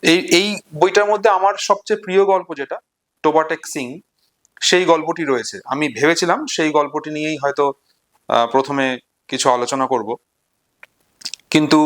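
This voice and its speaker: A man with a fairly high voice presents literature as an informal lecture. He speaks in deliberate, measured phrases, setting out a story piece by piece. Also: fairly bright tone.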